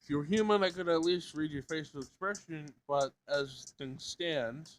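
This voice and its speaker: A man's voice: fairly high pitch, normal speed, close to an everyday voice. A man voicing a polite, bewildered young human with a hesitant, questioning manner in a visual novel.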